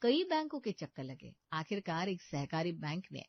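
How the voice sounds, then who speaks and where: A woman's voice: fairly low pitch, sing-song, normal speed, dry recording, dull audio. A woman reading a scripted storybook narration as the storyteller.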